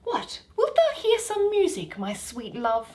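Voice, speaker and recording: A woman acts a fairy queen besotted with her beloved in a verse stage comedy. Her voice is fairly high and moves slowly, tender and adoring, with wide swings of pitch. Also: wildly swooping pitch, a little mellow, exaggerated voice. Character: Titania, doting, lovestruck fairy queen.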